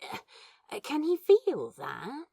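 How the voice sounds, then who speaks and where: A woman's voice: sits high, huge pitch swings, takes it slowly, creaky, dark tone, no room sound, full character voice. A woman playing an awkward, wary but smitten man who speaks briefly and hesitantly, with curiosity, in an audio drama. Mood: startled, unsure. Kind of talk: fan fiction audio drama dialogue.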